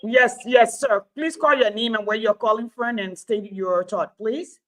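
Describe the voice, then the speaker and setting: A woman hosting a call-in talk show, brisk and polite with callers. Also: fairly high pitch.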